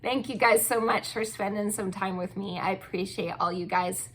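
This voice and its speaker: A woman's voice: medium pitch, fairly even intonation, normal speed, fairly bright tone, husky, poor audio. A woman hosting her own channel, chatting casually and gushing with many ums while losing her voice. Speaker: channel host reviewing wax melts. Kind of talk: casual product review.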